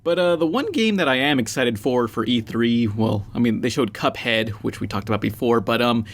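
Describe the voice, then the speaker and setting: A man's voice: medium pitch, normal speed. A man hosting a casual group podcast conversation. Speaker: podcast host.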